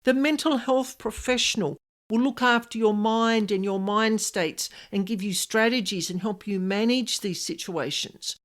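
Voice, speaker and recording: A woman's voice: mid-range voice, a little slow. A woman hosting a solo podcast, explaining things conversationally and putting questions straight to the listener. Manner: conversational, addresses listener directly with rhetorical questions. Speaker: podcast host and paranormal specialist.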